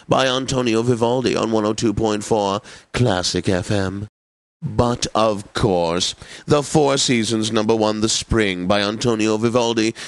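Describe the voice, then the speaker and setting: A man plays a pompous, genteel classical station host from a satirical video game. His medium-pitched voice savors each phrase with theatrical, drawn-out announcing, and the sound is dry and close. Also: no echo, lightly acted. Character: pompous, genteel male classical radio DJ, faintly lecherous. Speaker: classical radio DJ. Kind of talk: scripted classical radio announcing, game parody.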